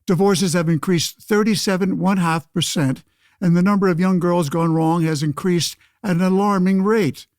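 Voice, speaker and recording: Man playing a pompous old-time senator, higher-pitched. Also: steady tone, normal speed, a little mellow, dry recording, clean studio sound, natural voice. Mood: alarmed, preachy. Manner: pompous, speechifying. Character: pompous old-fashioned 1911 senator, windbag against women's suffrage. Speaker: debate guest. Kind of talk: pseudo-radio play, oratorical debate.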